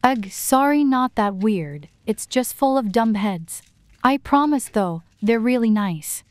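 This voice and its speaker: Woman playing chatty bubbly teen girl in a storytime skit, kinda high voice, eager, says sorry a lot. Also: expressive, takes it slowly, no echo. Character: chatty, bubbly, apologetic teenage girl friend. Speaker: voice actor in an animated storytime drama. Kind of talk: scripted amateur roleplay dialogue.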